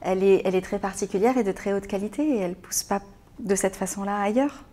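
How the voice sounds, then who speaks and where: A woman's voice: medium pitch, warm tone. A hesitant, thoughtful Frenchwoman. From France. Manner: thoughtful, many pauses and repeated words. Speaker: interview guest, business owner. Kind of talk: hesitant conversational interview answers.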